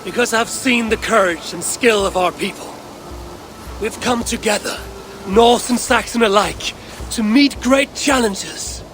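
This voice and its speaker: An actor playing a proud, steady Norse warrior leader in a video game, with a gravelly voice and measured, resolute delivery. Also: male voice, sits high, soft background music. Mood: rousing. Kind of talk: dramatic video game acting.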